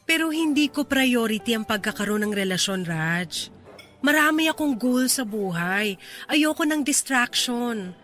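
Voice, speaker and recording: Bright, high-voiced young Filipina, teasing yet guarded. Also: female voice, lively intonation, a little slow, soft background music. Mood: gently firm. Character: young single woman, teasing but guarded, goal-driven. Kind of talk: radio drama acting.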